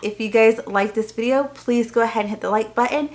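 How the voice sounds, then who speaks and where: A woman's voice: fairly high pitch, brisk. A woman speaking casually to viewers as a homeschooling vlogger, explaining things in a chatty, informal way with loose, trailing sentences. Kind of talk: casual unboxing review to viewers.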